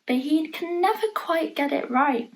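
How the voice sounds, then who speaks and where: A woman reading a children's picture book aloud in an expressive storytelling manner, pausing to point out the pictures. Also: high voice, gravelly. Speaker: storybook reader.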